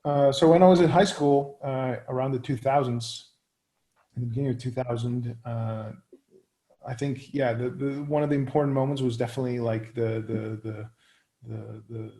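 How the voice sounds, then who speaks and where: Man, 30s, raised in the Netherlands, interview answers, middle pitch, gravelly, hesitant, searching for words. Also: fairly even intonation, normal speed, warm tone. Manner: many fillers and restarts, searching for words.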